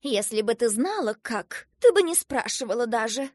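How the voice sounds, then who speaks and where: A woman voicing a motherly, excitable storybook sweet bun with an emphatic, fussing manner. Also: on the high side, unhurried, dry recording, clean studio sound, voice pushed up. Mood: agitated.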